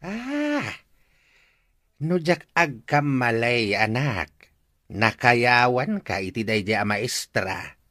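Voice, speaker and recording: A man's voice: medium pitch, very animated, slow pace, long pauses, bright, forceful tone, dry recording, clean studio sound, full character voice. A man with an Ilocano accent playing a kindly, teasing father who advises his grown son in a radio drama. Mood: teasing, encouraging.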